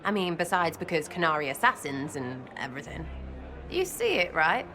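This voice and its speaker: A British actress playing a cheeky, blunt young elf rogue in a fantasy game, talking in clipped, irreverent slang. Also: female voice, low voice, sing-song, normal speed, crowd noise. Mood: conspiratorial. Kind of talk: video game dialogue acting.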